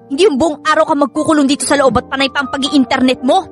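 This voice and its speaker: A woman's voice: high voice, steady tone, fast, lightly acted. A woman playing a hardworking, nagging and hurt wife and mother in a Filipino radio drama, emotional and scolding. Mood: exasperated. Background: background music.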